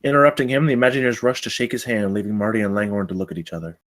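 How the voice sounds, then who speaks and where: Fast-reading, low-voiced man narrating a script. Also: fairly even intonation, fairly bright tone. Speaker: narrator of stage directions. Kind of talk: scripted narration at a table read.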